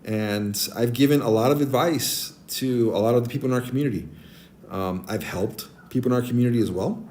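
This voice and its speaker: A man in his forties with a naturally deep voice, chatting casually with stream viewers and giving friendly advice, with many ums and restarts. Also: brisk, darkish tone, a little hiss or hum. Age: 40s. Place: abroad, streaming on a tablet. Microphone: tablet mic.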